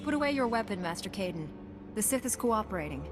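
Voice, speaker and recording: A woman's voice: medium pitch, very animated, fast, natural voice. Resolute woman playing a Jedi knight, faint music. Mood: serious, steady. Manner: direct, businesslike. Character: resolute female Jedi knight, firm and guarded. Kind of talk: video game cutscene acting.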